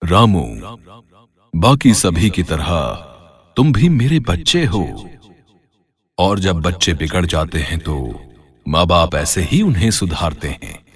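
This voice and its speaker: A man's voice: low-pitched, big pitch swings, slow, pauses often, breathy edge, heavily acted. A man playing a fatherly god who speaks from a magic trident in stern, forgiving pronouncements. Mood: solemn, stern.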